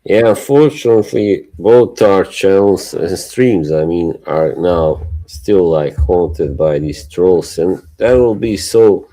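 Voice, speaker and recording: A man's voice: deep voice, lively intonation, takes it slowly, few pauses, mellow, low-quality recording. A middle-aged man with a Bosnian accent, co-hosting a casual livestream chat with a laid-back manner and frequent chuckles. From Bosnia and Herzegovina. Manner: laid-back, chuckles often, trailing filler words. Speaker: livestream co-host.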